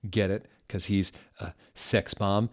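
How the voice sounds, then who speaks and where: A man giving a mock-serious dramatic reading aloud, deliberate and theatrical, with literal attention to every odd word. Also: on the low side, flat delivery. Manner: deliberate, theatrical, reads typos and punctuation out literally. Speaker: dramatic reader of fan fiction.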